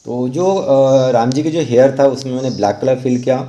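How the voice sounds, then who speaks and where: An Indian man giving an informal step-by-step tutorial voice-over as an instructor, halting and repeating himself as he explains. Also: mid-range voice, dark tone, dull audio. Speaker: rangoli art instructor.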